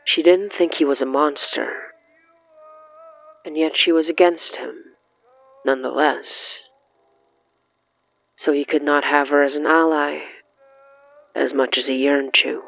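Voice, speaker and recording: Slow, measured narrator of dramatic horror fiction. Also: mid-range voice, fairly even intonation, creaky, dull audio. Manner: measured, deliberate, broken into short suspenseful phrases.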